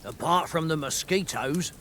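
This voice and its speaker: A man's voice: fairly high pitch, normal speed, fairly bright tone, slight background noise, natural voice. A man playing a wry, grumbling common soldier in a medieval video game.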